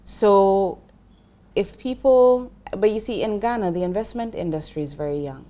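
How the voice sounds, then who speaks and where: A woman's voice: medium pitch, moderate pace, warm tone. A middle-aged woman from Jamaica, a guest in an interview, explaining finance earnestly with frequent restarts. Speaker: investment professional guest. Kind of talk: interview conversation.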